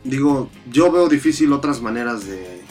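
A young man with a Mexican accent speaks at a middle pitch with a very lively, swooping delivery. He is easygoing and slangy, swapping crude banter with his co-host. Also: moderate pace, faint music behind. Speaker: podcast co-host.

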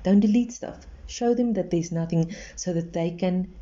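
An earnest woman gives relationship advice in a reassuring tone, repeating her points for emphasis. Her voice is somewhat low and very dark and mellow. The recording is slightly muffled and lo-fi. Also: normal speed. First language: Afrikaans. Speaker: relationship advisor. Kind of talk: advice monologue.

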